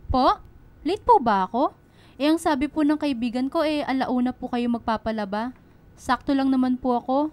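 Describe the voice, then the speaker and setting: Actress as a high-voiced humble Filipino mother. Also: moderate pace, lightly acted. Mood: meek, uneasy. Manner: polite, deferential, gentle. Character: humble, hardworking single mother working as laundrywoman. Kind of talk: Filipino radio drama acting.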